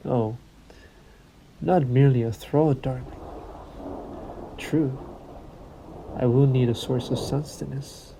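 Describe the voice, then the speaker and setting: A man performs a suave male vampire in an intimate audio roleplay, with faint stormy noise behind him. His voice is mellow and dark with a creaky edge. He speaks in a theatrical, silky way, menacing and possessive as he toys with his prey. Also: mid-range voice, fairly even intonation, poor audio. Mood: seductive, possessive. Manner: taunting, silky, theatrical, deliberate phrasing. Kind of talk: slow intimate audio roleplay monologue to the listener.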